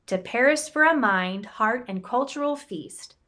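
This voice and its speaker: A woman reads a rhyming children's book aloud in a slightly high voice. She takes her time over each line, with a playful, expressive lilt that lets the rhymes land. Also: measured pace. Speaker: campus ministry director reading a picture book. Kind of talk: storybook read-aloud in rhyme.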